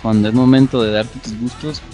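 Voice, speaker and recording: A man's voice: medium pitch, steady tone, a little fast, mellow, faint music behind. A man hosting a podcast from Guatemala with a Guatemalan accent, talking at length in an enthusiastic way. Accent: Guatemalan Spanish. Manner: enthusiastic, long-winded. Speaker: podcast host. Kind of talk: casual podcast interview.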